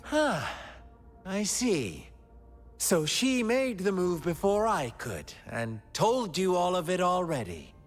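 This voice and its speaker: An actress playing a sly, teasing villainess in a video game, with a drawling, mock-hurt, theatrical delivery. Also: female voice, fairly low pitch, big pitch swings, takes it slowly, big-room sound, soft background music, heavily acted. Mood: wry, taunting.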